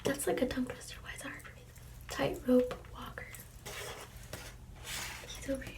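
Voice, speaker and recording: High-voiced woman whispering a storybook. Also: steady tone, rapid-fire, distant mic. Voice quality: soft breathy whisper. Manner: gentle, with quiet asides on the pictures. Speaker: storybook reader. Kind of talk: whispered ASMR storybook reading.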